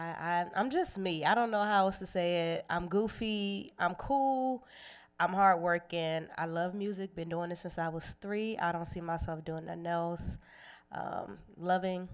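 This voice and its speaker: A woman's voice: lowish voice, clear, resonant tone. A young woman from Cleveland, Ohio, a singer guest chatting casually and playfully in an interview, laughing easily.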